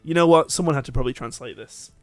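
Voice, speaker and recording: A man's voice: mid-range voice, very fast, dry recording, faint music behind. A British man co-hosting a let's play, chatting casually and joking as he comments on a game. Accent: British English. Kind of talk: casual jokey gaming commentary with dialogue read aloud.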